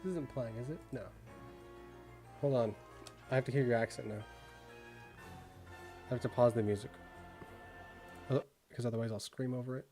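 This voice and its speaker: A man's voice: medium pitch, moderate pace, pauses often, warm tone, soft background music. A young man with a Midwestern accent, chatting casually on a stream and rambling with lots of fillers. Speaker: streamer reviewing viewer work. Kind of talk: casual live-stream chat.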